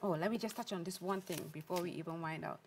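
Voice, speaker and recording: A woman's voice: lowish voice, fast, a little hiss or hum. Chatty Trinidadian woman hosting a talk show. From Trinidad and Tobago. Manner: chatty, playful and upbeat. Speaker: host. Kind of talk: casual talk-show chat.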